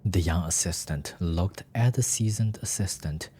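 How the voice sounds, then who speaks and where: A man's voice: deep voice, measured pace, warm tone, a little hiss or hum. A man narrating a scripted, melodramatic story.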